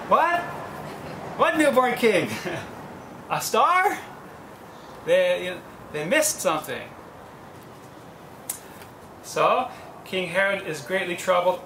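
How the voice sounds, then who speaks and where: A man in his thirties, a priest, preaching a lively homily outdoors under a tent on a lapel mic, acting out the people he quotes. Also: high-pitched. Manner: animated, gesturing, acts out quoted voices. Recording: echoey with faint ambient noise.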